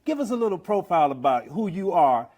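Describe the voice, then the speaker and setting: Animated man with a lively, higher voice. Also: quick pace, dull audio. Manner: animated and encouraging, asks long wordy questions. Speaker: podcast host. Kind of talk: podcast interview.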